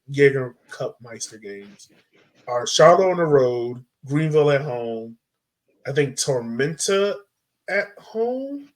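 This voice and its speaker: A man with a fairly high voice hosts a casual sports podcast. He talks in an informal, conversational way and likes to toss questions out to the table. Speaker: podcast host. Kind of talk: casual soccer discussion.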